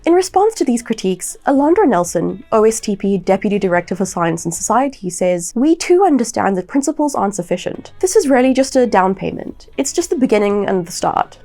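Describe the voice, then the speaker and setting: A woman reading a scripted tech news roundup as a presenter, with clearly articulated delivery. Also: mid-range voice, warm tone.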